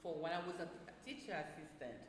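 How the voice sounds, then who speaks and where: A woman in her sixties with a Haitian accent, telling stories in a casual interview in an animated way. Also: low voice. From Port-au-Prince, Haiti. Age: 60s. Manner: animated, quick to laugh. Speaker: interview guest, retired school counselor and teacher. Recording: echoey reverberant room with noise.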